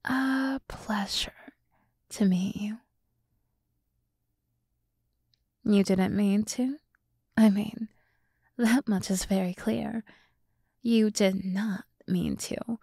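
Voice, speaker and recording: A woman playing a sultry, teasing demoness in an ASMR roleplay, speaking in a soft half-whisper with slow, condescendingly soothing deliberation, close to the microphone. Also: medium pitch, steady tone, vocal fry, breathy edge, dry recording, full character voice. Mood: smooth, courteous. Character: sultry, teasing demoness summoned to serve a human.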